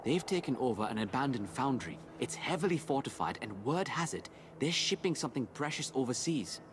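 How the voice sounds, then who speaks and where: A male actor playing an earnest assassin ally, measured and polite, in a video game cutscene. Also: medium pitch, sing-song, noisy background, natural voice. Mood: businesslike. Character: earnest, soft-mannered Indian assassin ally.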